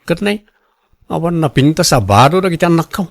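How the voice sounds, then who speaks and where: A stubborn, image-conscious family man acted by a man in a radio drama. His voice sits a little high for a man, with an Ilocano Filipino accent and a dry, close sound. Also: lively intonation, brisk, creaky, no echo. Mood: insistent, proud. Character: proud, image-conscious husband and father, defensive and stubborn.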